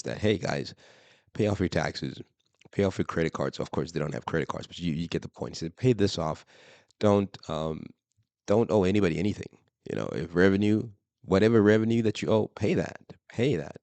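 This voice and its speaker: A hoarse man with a very low, gravelly voice hosts a solo faith podcast. He talks emphatically, repeats phrases and often stops on fillers. The sound is dry and close, with no room around it. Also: darkish tone, dry recording. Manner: repetitive, emphatic, many fillers. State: hoarse, lost voice. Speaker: podcast host.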